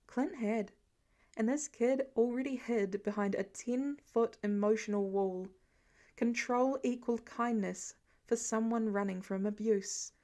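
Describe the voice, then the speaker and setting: A woman reading fan fiction aloud as an expressive scripted narration. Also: on the high side.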